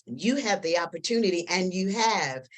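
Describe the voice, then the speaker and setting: A woman hosting an interview, encouraging and affirming her guest with lively exclamations. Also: on the low side, moderate pace. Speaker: host. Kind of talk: casual interview.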